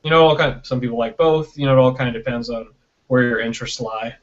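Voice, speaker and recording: A man's voice: mid-range voice, fast talker, slight background noise. American man chatting over a lo-fi call. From Michigan, United States. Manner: conversational, peppers talk with "you know" and "right?", acts out imagined quotes. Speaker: interview guest, film producer and director. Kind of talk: casual interview chat. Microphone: online video call. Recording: dull lo-fi internet call audio.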